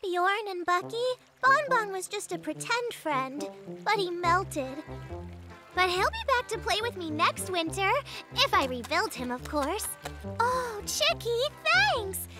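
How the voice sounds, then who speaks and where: A woman's voice: very high-pitched, sing-song, unhurried, heavily acted. A woman voicing a cheerful, eager little girl bear cub in a children's cartoon, easily wounded and earnest. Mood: disappointed. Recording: children's music behind the voice.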